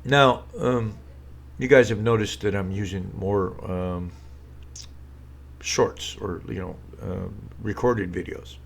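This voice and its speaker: A man with a slightly low voice rambles through casual political commentary on a live stream. He is sarcastic and loose, full of fillers and false starts, talking from a room at home. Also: fairly even intonation, takes it slowly, gravelly. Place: home room with a green screen.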